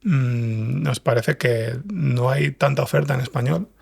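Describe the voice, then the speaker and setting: Man, mid-pitched, husky, gravelly creak, interview guest explaining. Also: unhurried, darkish tone. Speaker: podcast guest, scriptwriter and fiction podcast creator.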